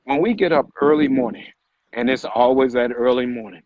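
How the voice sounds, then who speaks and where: A man's voice: mid-range voice, fairly quick. A man co-hosting a live motivational vlog, speaking emphatically with repeated phrases and rhetorical questions. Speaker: vlog co-host and fitness motivator. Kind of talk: motivational talk on a live stream. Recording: muffled audio with background noise.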